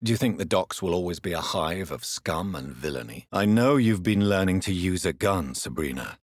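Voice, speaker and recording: A brooding vampire doctor for a video game. He is a gentleman with a very low, husky voice that moves expressively. His speech is formal, grave and carefully articulated. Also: animated, normal speed, dry recording, clean studio sound. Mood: measured, questioning. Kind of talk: dramatic video game dialogue.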